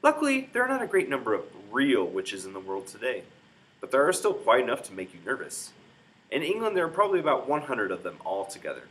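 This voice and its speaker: A man's voice: medium pitch, huge pitch swings, quick pace, a bit bright, rough recording. A man reading a children's book aloud in a dramatic, emphatic storytelling manner. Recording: clear background noise, room ambience.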